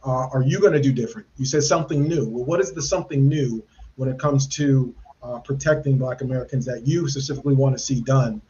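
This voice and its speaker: A man's voice: mid-range voice, fast talker, warm tone, dull audio. A man hosting a live podcast interview, asking earnest, rambling questions with many fillers and restarts. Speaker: podcast host.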